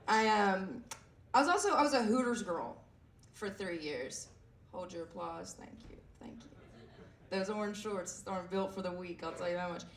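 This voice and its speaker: A woman in her twenties with a raspy voice, performing a self-deprecating stand-up set with lots of fillers and halting restarts. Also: mid-range voice, animated. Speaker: stand-up comedian. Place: comedy club stage. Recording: echoey room heard from a distance.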